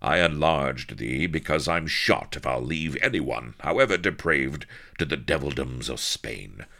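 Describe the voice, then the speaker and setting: A man's voice: very deep voice, expressive, measured pace, gravelly, pushed and bright, full character voice. A man voicing a stiff, prim sea captain who speaks in pompous, archaic phrases. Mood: icy.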